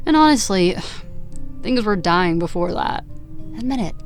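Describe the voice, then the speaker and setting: An actress plays a guarded, wounded young woman who takes her time over her words. Her mid-pitched delivery is dry and sardonic, in natural audio-drama style over faint music. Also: animated, measured pace, close to an everyday voice. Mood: exasperated, guarded.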